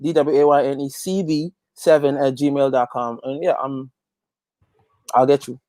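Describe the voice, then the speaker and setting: A man's voice: medium pitch, steady tone, normal speed, mellow, no room sound. Man chatting, very dry sound. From Guyana. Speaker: interview guest, gospel reggae singer and youth pastor. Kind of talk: casual interview chat.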